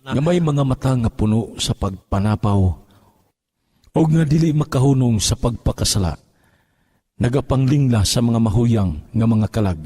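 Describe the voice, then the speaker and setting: Mellow, dark-voiced man reading scripture. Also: mid-range voice, moderate pace, breathy edge, no room sound. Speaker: scripture reader.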